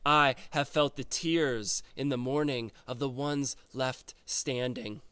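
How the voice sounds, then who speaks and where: Male host, slow meditative delivery, smooth clear voice, reflective reading. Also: mid-range voice, fairly even intonation, pushed and bright, no echo. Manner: slow, contemplative, with long pauses. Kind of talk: reflective spoken musing and poem reading.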